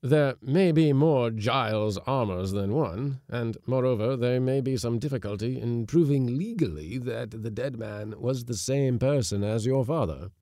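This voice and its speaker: A man's voice: medium pitch, a little slow, hardly any pauses, dark tone, no echo, studio quality, voice pushed up, close to an everyday voice. A man voicing a middle-aged magistrate who speaks in a formal, cautioning way in a storybook reading.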